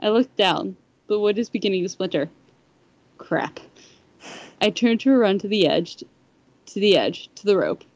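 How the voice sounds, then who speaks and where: A woman's voice: mid-range voice, animated, a little slow, pauses often, a little mellow, muffled recording. A woman reading a first-person story aloud on a podcast, sometimes stumbling over the words. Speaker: fan fiction podcast reader.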